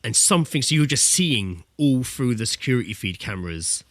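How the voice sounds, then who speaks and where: A man's voice: mid-range voice, big pitch swings, a little fast, a bit bright, no room sound. A British man co-hosting a podcast, chatting informally about video games with some swearing. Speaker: podcast co-host. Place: indoors, remote internet voice call. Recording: dry, close voice-call sound.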